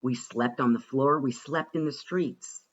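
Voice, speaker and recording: A man reading a rhyming children's storybook aloud with a rhythmic, expressive and deliberate delivery. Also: medium pitch, muffled recording. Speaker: reader of a children's picture book.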